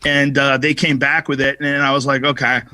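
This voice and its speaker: A man chatting as a comedian guest on a podcast, telling stories in a profane, animated way. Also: mid-range voice, fairly quick, no room sound. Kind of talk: casual podcast chat.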